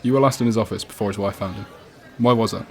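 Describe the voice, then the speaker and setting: A man's voice: fairly low pitch, fast, soft background music, close to an everyday voice. A man with a British accent playing a casual but persistent police detective in a radio play, questioning politely and insistently. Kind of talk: student radio drama acting. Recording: cafe crowd chatter behind.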